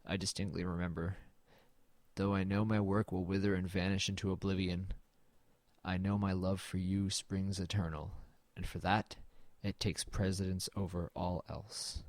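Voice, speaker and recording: A man's voice: low voice, level tone, normal speed, a little mellow, dry recording. A man playing a weary, haunted man who narrates his own horror story in a glum, understated way in an audio drama. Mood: somber, resigned. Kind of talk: first-person scripted audio drama narration and dialogue.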